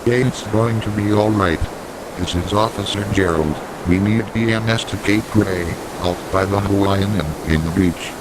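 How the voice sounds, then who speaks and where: Wind and surf rush behind a man acting as a police officer. His voice is on the low side and urgent, in an amateur radio drama style. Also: normal speed, lightly acted. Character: urgent male police officer at a storm scene. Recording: loud wind and surf noise.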